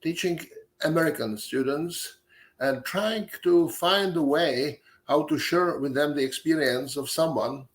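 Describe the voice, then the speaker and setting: A man in his seventies with a Czech accent, a native Czech speaker giving an academic lecture, pausing often on fillers and restarting phrases. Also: on the high side. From Czech Republic. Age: 70s. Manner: halting, many fillers and restarts.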